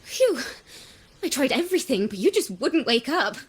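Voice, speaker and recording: An actress playing a friendly, excitable young female musician in a video game, with a theatrical, playful delivery. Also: high voice, moderate pace. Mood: worried, relieved.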